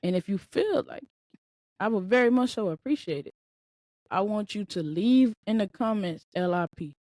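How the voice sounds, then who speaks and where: A woman's voice: medium pitch, animated, moderate pace, darkish tone, dry recording, studio quality. A woman in her late twenties hosting a solo podcast, talking casually and emphatically with lots of repetition, like a motivational pep talk. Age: 20s. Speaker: podcast host.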